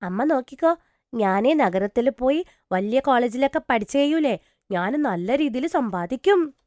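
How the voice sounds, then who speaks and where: A woman acts out a young schoolgirl in a cartoon story. Her voice is high and childlike, earnest and hopeful, with a pleading way of talking. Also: lively intonation, moderate pace, a little mellow, no room sound, studio quality, lightly acted. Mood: dreamy, hopeful. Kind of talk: dramatised cartoon story dialogue.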